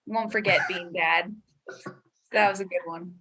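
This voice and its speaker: Bright-voiced young woman in casual discussion. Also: on the low side, steady tone, unhurried, a little hiss or hum, poor audio. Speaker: panel guest, PhD student and teacher.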